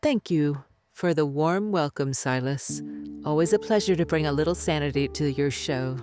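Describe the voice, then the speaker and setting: An actress playing a composed, self-assured middle-aged geriatrics doctor as a guest on a radio drama talk show. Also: female voice, low-pitched, moderate pace, over music, close to an everyday voice. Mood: composed, dry. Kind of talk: scripted radio drama interview.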